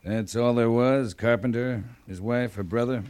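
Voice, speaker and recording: An actor playing a weary, authoritative cavalry captain in a 1950s radio western, with a clipped, commanding delivery. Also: male voice, mid-range voice, steady tone, moderate pace, darkish tone, close to an everyday voice. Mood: grim, measured. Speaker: radio drama lead actor. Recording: noisy old radio recording.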